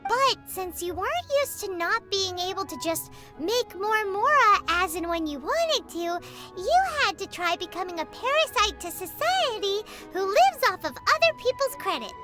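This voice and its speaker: A little childlike fairy sidekick from a video game. The voice is piercingly high and squeaky, chirpy and blunt, with animated swings in pitch. Music plays loudly behind it. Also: female voice, moderate pace, hardly any pauses. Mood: cheeky realization. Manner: chirpy, blunt, speaks of herself in third person. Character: tiny, cheeky, childlike female fairy companion. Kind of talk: scripted game cutscene voice acting. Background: prominent game music.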